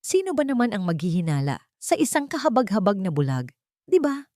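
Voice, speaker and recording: A woman's voice: sing-song, brisk, mellow, no echo, clean studio sound, natural voice. A woman storyteller voicing a haughty, mocking rich society woman with a contemptuous, taunting manner. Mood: cruel taunting. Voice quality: shrill.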